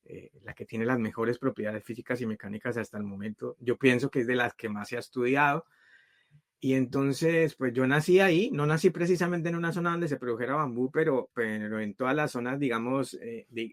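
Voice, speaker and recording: A middle-aged man from Colombia speaks as an interview guest, at a middle pitch, with a dry, close sound. He tells his story at length, often pausing on fillers and restarting his phrases. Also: no echo. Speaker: guest, bamboo builder and founder. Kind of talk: casual interview talk.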